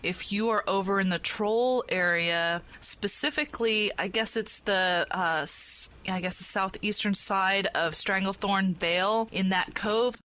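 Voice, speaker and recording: A woman's voice: mid-range voice, measured pace, hardly any pauses, sharp tone. A woman hosting a themed podcast in a playful, conversational way. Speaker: podcast host.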